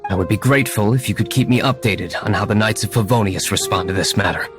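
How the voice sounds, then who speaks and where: A man's voice: lowish voice, fast, over loud music, close to an everyday voice. A man playing a cool, menacing aristocratic young hero in a video game, stern and formal. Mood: stern, composed. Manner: stern, formal, coolly commanding.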